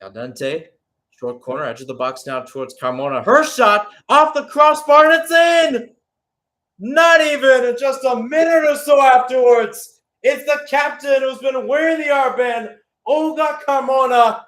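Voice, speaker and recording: A man's voice: extremely high pitch, a little slow, a bit bright. A man giving live radio-style play-by-play commentary on a football match, with exclamatory phrasing and rhetorical questions.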